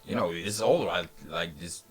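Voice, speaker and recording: A man's voice: sits low, moderate pace, echoey room. A man playing a tabletop roleplaying game, speaking in stop-start phrases as he slips between character talk and table chat. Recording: faint music behind. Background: faint music.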